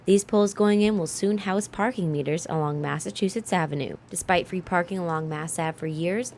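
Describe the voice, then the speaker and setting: A woman's voice: fairly low pitch, fairly quick, darkish tone, a little hiss or hum. An American woman narrating a scripted local news story as a reporter.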